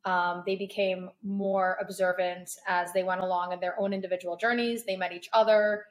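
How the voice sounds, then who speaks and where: A woman's voice: fairly low pitch, flat delivery, normal speed. A young woman, born in Paris and raised in Toronto, answering interview questions in a chatty, searching way with many ums. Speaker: guest, content creator.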